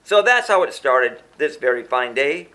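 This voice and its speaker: Male storyteller for rhyming kids' book: very lively intonation, bright and punchy tone, emphatic sing-song rhythm. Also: medium pitch, normal speed. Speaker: children's book author reading their own book. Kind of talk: rhyming children's story narration, read aloud.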